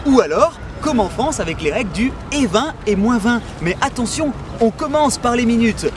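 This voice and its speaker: A man's voice: extremely high pitch, lively intonation, normal speed, over music. A man hosting a parody language lesson in a mock-earnest, cheeky manner. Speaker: comedic language teacher host.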